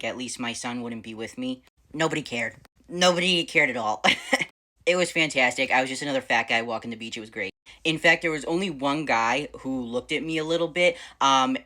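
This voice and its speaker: A man in his thirties with a fairly high voice, telling personal stories to camera in a bubbly, animated way as he answers a viewer's question. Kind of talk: casual personal storytelling to camera.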